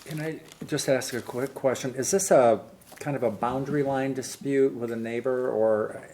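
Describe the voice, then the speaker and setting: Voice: mid-range voice, fairly even intonation, normal speed, a little mellow. A mayor chairing a city council meeting, moving through procedure in a plain, practical manner. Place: council chamber.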